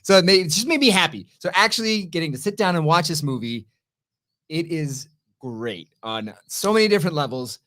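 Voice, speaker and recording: A man with a very lively, swooping delivery co-hosts a casual review show. He is enthusiastic and emphatic, bantering as he makes his case. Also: on the high side, brisk. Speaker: co-host of an entertainment review show.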